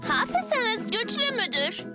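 A bubbly little girl twin for a children's TV dub. Her childlike voice sits very high and bounces around excitedly, with music behind it. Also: slow pace, full character voice. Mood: cheerful. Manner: childlike, bright-eyed, excitable. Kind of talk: Turkish dub of children's live-action show.

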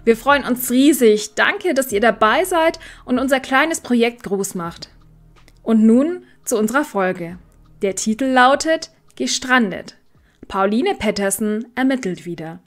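A woman's voice: fairly high pitch, animated, a little slow. A woman hosting a podcast, giving a scripted introduction and addressing her listeners. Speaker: podcast host, church deacon.

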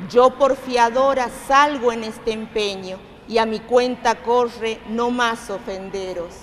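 Woman leading prayer verses, slow and solemn, big long reverb, bit of noise. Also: on the high side. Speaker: prayer leader reciting verses. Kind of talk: devotional recitation of rhymed novena verses.

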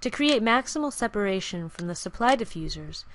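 A woman giving clear, even, scripted narration for a software screencast tutorial, recorded as a studio voice-over. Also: mid-range voice, a little fast, slight background noise. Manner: clear, even instructional delivery.

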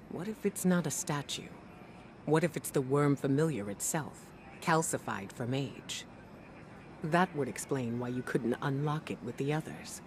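A woman playing a resolute commander in a video game, briefing a soldier in an earnest, deliberate, urgent way. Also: extremely low pitch, noisy background.

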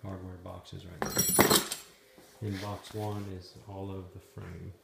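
Slow, gravelly-voiced man narrating a build. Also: deep voice, little pitch movement, very noisy. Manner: plain, matter-of-fact, halting with fillers. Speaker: DIY video maker. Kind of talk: casual step-by-step narration while assembling. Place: garage or shop and outdoors by a trailer. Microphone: handheld phone camera.

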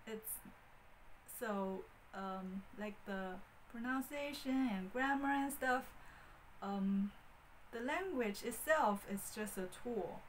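A woman in her thirties with a Mandarin Chinese accent talks slowly to camera, pausing often and filling gaps with ums. She holds a wired mic in a small room. A faint hiss sits under her voice. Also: medium pitch, rough recording. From Beijing, China. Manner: hesitant, many fillers and restarts. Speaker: vlogger. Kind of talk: casual talk to camera. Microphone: wired handheld mic.

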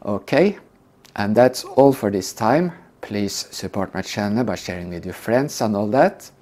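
An older man calmly teaching a scripted lesson on flying approaches. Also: lowish voice. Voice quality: calm, measured explanation. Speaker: flight instructor. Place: indoor room.

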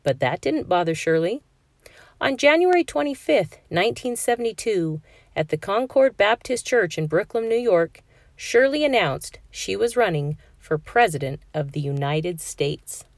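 A woman narrating a children's picture book aloud in a clear, measured storytelling manner for a classroom. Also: mid-range voice, animated, no room sound, studio quality.

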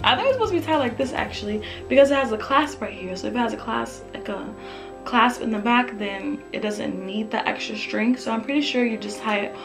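A young woman with a slightly raspy voice, chatting upbeat and casual through a clothing try-on haul in her bedroom, talking to the camera up close. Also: on the high side, brisk, music in the background. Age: young adult. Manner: chatty, upbeat, lots of fillers. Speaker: fashion vlogger. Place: bedroom with photo-collage wall, indoors.

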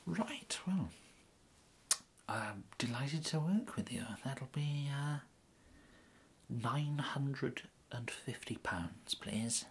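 A man in his thirties with an English accent playing a polite, fussy gentleman tailor in an ASMR roleplay, murmuring hesitantly and often slipping into a breathy whisper. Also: medium pitch, lively intonation, extremely slow, voice pushed up, heavily acted. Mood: courteous, pleased. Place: indoors, plain small room, close to camera.

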